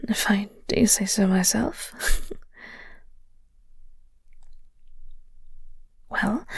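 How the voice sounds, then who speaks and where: A woman playing a flirty, tender vampire in an intimate audio roleplay, breathy and half-whispered, with a halting, teasing delivery. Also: fairly high pitch, darkish tone, no room sound, heavily acted. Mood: flustered, teasing. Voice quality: breathy, husky. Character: flirty, gentle vampire nemesis comforting a heartbroken human.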